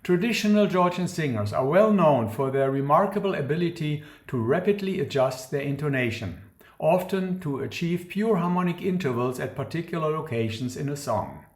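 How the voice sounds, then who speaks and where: A man gives a scripted academic lecture in a formal, measured, precise manner. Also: on the high side, expressive. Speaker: academic researcher presenting a talk. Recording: roomy, echoing sound.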